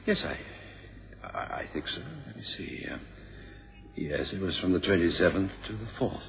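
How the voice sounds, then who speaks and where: Nervous secretary, low voice, animated inflection, hesitant, polite, radio mystery drama, dull old recording. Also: male voice, moderate pace, gravelly. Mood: flustered. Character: nervous, devoted male personal secretary, secret lover.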